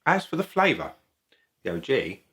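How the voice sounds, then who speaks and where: A man giving a casual product review to camera as a video host, speaking in a halting, deliberate way and repeating phrases. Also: medium pitch, wildly swooping pitch, a bit bright.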